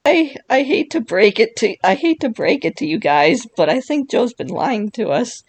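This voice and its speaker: A young woman with a raspy voice from being sick, chatting casually and laughing often as a YouTuber. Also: a little high, expressive, normal speed, a little mellow, no room sound. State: sick, voice worn. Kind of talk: casual commentary while chatting with an AI chatbot.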